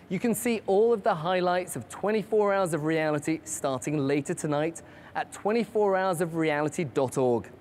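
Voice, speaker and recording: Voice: medium pitch, a little mellow, a little hiss or hum. A host giving scripted, upbeat narration that lists upcoming segments of a broadcast. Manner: upbeat promotional delivery, listing upcoming segments. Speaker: host of a live-streamed broadcast.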